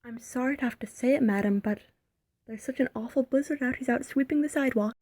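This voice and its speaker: Woman as a dutiful maid, polite and servile, high mellow voice, radio play. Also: fast talker, no echo. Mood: apologetic. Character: deferential, dutiful household maid of a rich family.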